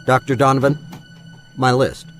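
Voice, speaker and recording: A man playing a wealthy, self-important older schemer in an audio drama, pompous and smooth-talking. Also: medium pitch, level tone, normal speed, soft background music, close to an everyday voice. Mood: pompous, pressuring.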